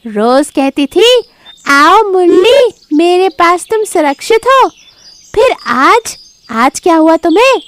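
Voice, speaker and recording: A woman voicing a clever, watchful mother deer in an animated moral story, calling out in an exaggerated way. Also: extremely high pitch, takes it slowly, voice pushed up, exaggerated voice. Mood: feigned puzzlement.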